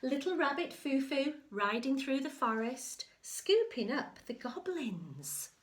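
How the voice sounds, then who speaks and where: Slow-paced woman reading a children's story. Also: high-pitched. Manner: expressive sing-song reading, hushed asides. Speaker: infant school story reader. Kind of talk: children's picture-book read-aloud with commentary.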